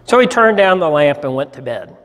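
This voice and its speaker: A man in his sixties giving a deliberate, expressive dramatic reading of a story, with pauses, as a pastor in a church. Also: on the high side. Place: church sanctuary, indoors. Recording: hall reverb in a church.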